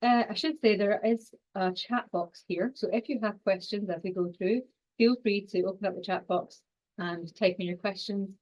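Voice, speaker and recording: A woman in her forties with a strong Scottish accent, giving an online science lecture quickly, with frequent fillers and restarts. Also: medium pitch, steady tone, a little mellow, low-quality recording. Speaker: university lecturer and exercise scientist.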